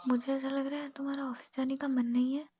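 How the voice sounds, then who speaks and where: In slightly muffled lo-fi audio, a woman acts out a young wife in a romantic drama. Her voice is high-pitched and playful. She chides gently, with affection and teasing, in casual intimate talk. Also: flat delivery, quick pace, close to an everyday voice. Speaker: actor playing a wife. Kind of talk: intimate romantic couple roleplay in casual Hindi.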